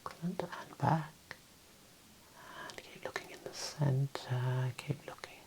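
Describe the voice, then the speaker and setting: Slow whispering ASMR man. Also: medium pitch, poor audio. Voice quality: soft, breathy whisper. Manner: calm, gentle, softly encouraging. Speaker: ASMR host playing an eye examiner. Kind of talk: ASMR roleplay, gentle instructions. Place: small room indoors.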